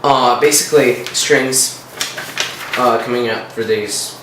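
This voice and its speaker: A man from Russia with a Russian accent, his first language being Russian, casually explaining his guitar design in halting, filler-heavy speech. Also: mid-range voice, echoey room, noisy background. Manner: hesitant, many fillers and restarts. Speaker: guitar design contest entrant.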